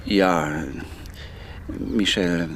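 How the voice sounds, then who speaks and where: A man's voice: low voice, very slow, fairly bright tone, a little hiss or hum, exaggerated voice. An actor playing a flustered, evasive father in a radio play, hesitant and faltering. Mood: uneasy. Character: flustered, evasive father hiding his snooping.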